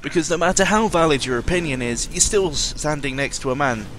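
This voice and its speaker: A man's voice: on the high side, animated, fast, background noise. A man giving a casual, rambling audio-log monologue as host, sardonic and cynical, often interrupting himself and swearing freely.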